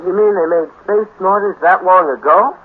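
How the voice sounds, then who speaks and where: A tinny, narrow old radio sound frames a high-voiced, naive teenage boy. He is a hesitant, admiring sidekick who speaks with gee-whiz innocence in mid-century radio acting style. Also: moderate pace. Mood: wide-eyed, uneasy. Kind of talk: 1950s radio drama acting. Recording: tinny, narrow-band 1950s radio recording.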